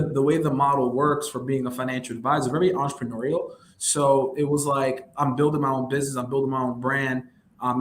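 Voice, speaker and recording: A young man from New York City, a wealth management advisor, talking casually and at length as a guest, with lots of fillers and an upbeat, self-promoting manner. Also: medium pitch. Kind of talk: casual interview conversation. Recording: roomy echo with faint background hum.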